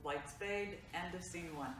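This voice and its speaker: A woman's voice: fairly low pitch, measured pace, background noise. A woman reading stage directions aloud in a plain, matter-of-fact way for a virtual staged reading. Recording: echoey room, mic far off.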